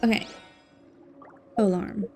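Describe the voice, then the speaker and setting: A woman livestreaming a game, chatting casually and playfully with expressive exclamations and silly filler words, game music behind her. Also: slow pace. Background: faint video game music.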